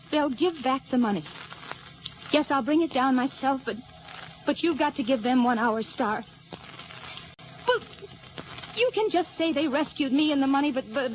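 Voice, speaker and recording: Brave young female hostage, played by an actress, higher-pitched, earnest and hesitant, vintage radio drama with faint noise. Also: lightly acted. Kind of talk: old-time radio drama acting. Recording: dull old radio recording, faint noise.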